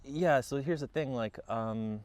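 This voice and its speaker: A man's voice: medium pitch, measured pace, darkish tone, dry recording. A man in his late thirties who grew up in Japan, chatting casually outdoors as he answers viewer questions, with many ums and restarts. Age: 30s. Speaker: vlogger. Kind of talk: casual Q&A chat.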